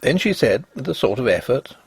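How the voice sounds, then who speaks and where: A man narrating a Victorian ghost story as scripted reading, with an expressive, literary delivery. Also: medium pitch, brisk, slightly rough, no echo.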